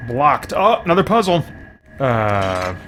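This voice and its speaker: A man's voice: medium pitch, sing-song, slow pace, heavy background noise. A man giving casual video game commentary as a reviewer, thinking aloud in informal language with mild exclamations.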